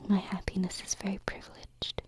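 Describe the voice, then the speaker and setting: A woman playing a gentle, affectionate alien princess in an ASMR roleplay, speaking softly and reassuringly into a close binaural microphone. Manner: soft, slow, reassuring, pausing. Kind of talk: ASMR roleplay, intimate whispering.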